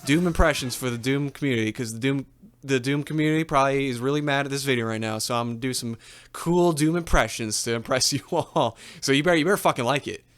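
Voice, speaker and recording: A man playing an awkward stand-up comic who stammers through monster impressions, with halting filler words. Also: mid-range voice, close to an everyday voice.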